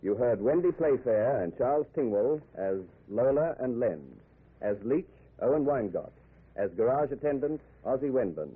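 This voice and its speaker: A man's voice: medium pitch, lively intonation, normal speed, slightly rough. A man announcing scripted closing credits as an old-time radio announcer. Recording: muffled old radio broadcast recording.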